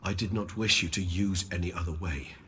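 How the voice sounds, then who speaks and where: An actor playing a learned, courteous vampire in a video game, speaking formally with measured, precise diction. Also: male voice, sits low, steady tone, a bit bright, a little hiss or hum. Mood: solemn. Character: courteous, learned, long-lived male vampire. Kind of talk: video game cutscene acting.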